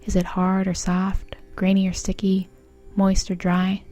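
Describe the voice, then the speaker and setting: A woman speaking gently and in a measured way as a mindfulness guide and interviewer. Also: mid-range voice, completely flat delivery, faint music behind. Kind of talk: guided mindful eating exercise, then soft interview.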